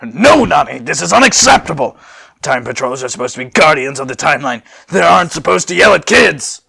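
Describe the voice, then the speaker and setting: A man's voice: a little high, very animated, fairly quick, vocal fry, sharp tone, breathy edge, no echo, clean studio sound, exaggerated voice. A man voicing a defiant, fiercely protective young alien hero in an amateur story reading. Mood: indignant shouting.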